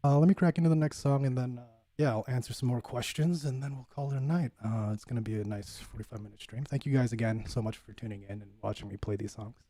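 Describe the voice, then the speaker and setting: A man's voice: medium pitch, fast, few pauses, mellow, no echo. Laid-back man chatting on a livestream. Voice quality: relaxing voice. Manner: laid-back, filler-heavy, says "bud". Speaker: livestream host, bassist. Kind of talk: casual chat reading viewer comments.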